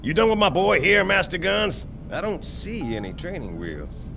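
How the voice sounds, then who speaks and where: An actor playing a cocky, teasing marine sergeant in a video game, swaggering and wisecracking. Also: male voice, sits high, sing-song, moderate pace, creaky, music in the background, heavily acted. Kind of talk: video game cinematic acting.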